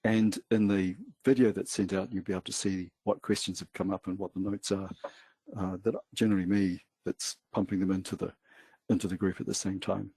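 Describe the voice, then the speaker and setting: Husky low-voiced New Zealand man. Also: a little fast, no echo, low-quality recording. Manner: relaxed, hesitant, many fillers. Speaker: webinar co-host. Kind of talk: casual webinar talk.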